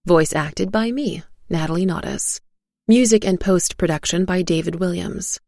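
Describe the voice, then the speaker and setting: A woman reading a first-person dramatic story in a suspenseful, deliberate way, as a scripted narrator. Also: on the low side, a little mellow, no echo, studio quality. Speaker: narrator and voice actor. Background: faint music.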